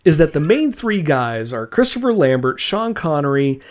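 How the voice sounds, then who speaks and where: A man's voice: a little high, sing-song, moderate pace. A man hosting a podcast in casual chat, enthusiastic and rambling, laughing often. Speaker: podcast host.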